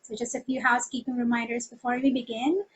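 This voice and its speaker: A woman's voice: a little high, flat delivery, fast, breathy edge, low-quality recording. A woman moderating an online masterclass over a video call, reading polite, scripted announcements. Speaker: webinar moderator. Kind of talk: formal event hosting.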